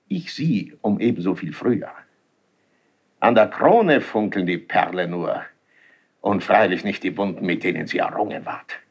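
An actor playing a proud, stern older duke and general in a classical drama, speaking formally and declamatorily in a husky voice. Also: male voice, lowish voice, heavily acted. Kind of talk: classical German stage drama acting.

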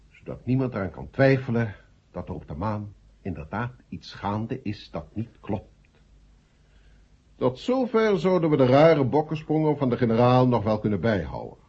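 An actor playing a pompous, sardonic committee chairman, husky and oratorical, in an old radio drama. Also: mid-range voice, warm tone, heavily acted. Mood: sardonic, grave. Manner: oratorical, emphatic. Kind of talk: 1970 radio drama speech. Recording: dull old radio recording.

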